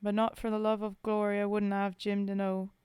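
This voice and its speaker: A rough, sentimental common soldier from the trenches, read aloud by a woman, speaks his mourning in folksy working-class dialect. The delivery is slow and even, with a mellow, smooth tone and a dry, close sound. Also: mid-range voice, dry recording, close to an everyday voice. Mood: wistful. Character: rough common male British WWI soldier, grieving comrade. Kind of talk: poetry reading.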